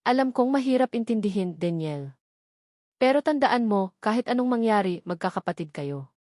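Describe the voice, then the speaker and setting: A woman's voice: mid-range voice, expressive, moderate pace, a little mellow, dry recording, lightly acted. A protective father read by a woman narrator speaks with earnest, resolute reassurance in a family drama story. The sound is dry and close. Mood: reassuring.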